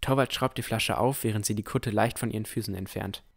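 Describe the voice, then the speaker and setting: Man playing Noel, a low-voiced dreamy young storyteller. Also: fairly quick, gravelly. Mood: puzzled, tense. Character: Noel, dreamy young village boy, shy and curious. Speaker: narrator and lead character. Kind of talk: first-person fantasy audio drama narration.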